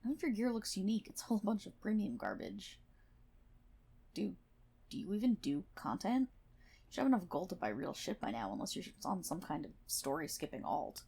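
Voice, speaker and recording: A woman's voice: medium pitch, very animated, normal speed, gravelly, warm tone, slightly rough, heavily acted. A woman playing a prickly, sarcastic guild healer in an online game, scolding and swearing one-on-one in an ASMR roleplay. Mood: irritated, scornful. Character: brash, prickly tsundere MMO guild healer, a woman gamer.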